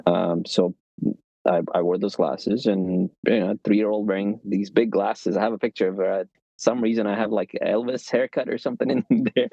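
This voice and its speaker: A young man from Pakistan, a tech founder and podcast guest, explaining things in a relaxed way and joking about himself, recorded on a phone. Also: lowish voice, moderate pace, vocal fry, slightly rough, dry recording. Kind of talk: casual interview storytelling. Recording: dull lo-fi, dry sound.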